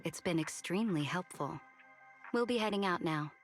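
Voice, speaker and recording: A woman voicing a composed, smooth-talking woman in a video game. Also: fairly low pitch, expressive, moderate pace, close to an everyday voice. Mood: calm. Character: composed, poised woman, cool and knowing. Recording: game audio under music.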